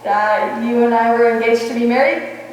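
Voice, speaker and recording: A woman playing a wry, quick-witted schoolteacher in a radio comedy, with dry wisecracking delivery. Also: on the high side, fairly even intonation, recorded from a distance, muffled recording, natural voice. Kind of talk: 1940s-style radio comedy stage acting. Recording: echoey hall from afar, faint noise.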